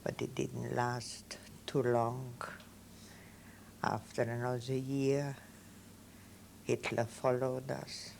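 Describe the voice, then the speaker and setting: Slow-spoken older Viennese woman. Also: extremely low pitch, level tone, creaky, a little mellow, a little hiss or hum. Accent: Viennese German. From Austria. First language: German. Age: 70s. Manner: slow, halting, simple phrasing. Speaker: interviewee. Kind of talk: oral history testimony.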